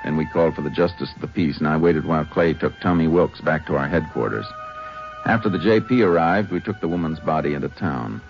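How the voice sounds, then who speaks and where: An actor playing a steady, laconic Texas Ranger investigator in a 1950s radio drama. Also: male voice, soft background music. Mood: matter-of-fact narrating. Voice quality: very deep, gravelly. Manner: laconic, folksy, measured. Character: steady male Texas Ranger investigator, gentle with children, grimly weary. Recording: dull, noisy old radio recording.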